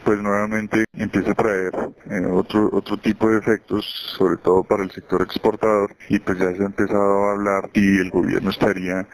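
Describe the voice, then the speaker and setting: A man speaks as a market analyst on a conference call. His voice sits fairly low and moves very little in pitch. He explains things off the cuff, often repeating a word while he forms the next phrase. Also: little pitch movement, fairly quick, rough recording.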